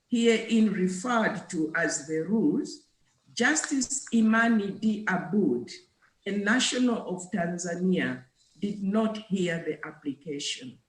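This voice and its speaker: Very slow woman judge, echoey room. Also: medium pitch, expressive. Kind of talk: formal reading of a court ruling.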